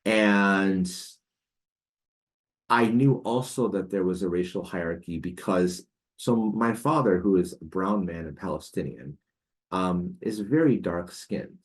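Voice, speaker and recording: A man's voice: low-pitched, a little slow. A man in his forties, a podcast guest, telling a personal story in a casual, hesitant way with many fillers and restarts. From United States.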